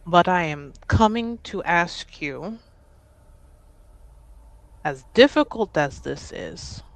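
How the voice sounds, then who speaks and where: A woman playing a grieving grandchild who pleads earnestly and haltingly in a tabletop roleplaying game. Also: on the low side, lively intonation. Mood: earnest pleading. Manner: slow, halting, earnest delivery. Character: Ade, sincere, grieving grandchild pleading with an estranged relative.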